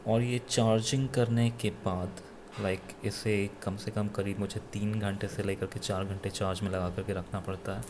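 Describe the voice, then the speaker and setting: A man with an Indian accent giving an unscripted gadget review in a halting, self-correcting way, switching between two languages. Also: fairly low pitch, background noise. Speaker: product reviewer. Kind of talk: unscripted gadget review, mixing Hindi with English.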